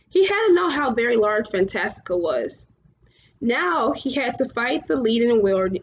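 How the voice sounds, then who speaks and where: A woman reading a storybook aloud as narrator, a little haltingly, stumbling over words now and then. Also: a little high. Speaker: story reader. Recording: muffled, clearly noisy home recording.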